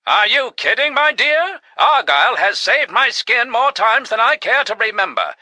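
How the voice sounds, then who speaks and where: Vintage radio serial hero: pompous, gallant adventurer, high voice with big swooping delivery, grandiose. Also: male voice, sing-song, moderate pace, pushed and bright, dry recording, exaggerated voice. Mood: jovial, pompous. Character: dashing, pompous, boastful gentleman adventurer.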